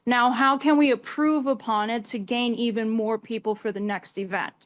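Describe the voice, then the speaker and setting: Woman, twenties, American accent, higher-pitched voice, emphatic interview guest explaining in conversational style. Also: fairly even intonation, normal speed. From New York State, US. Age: 20s. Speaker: activist guest, community organizer.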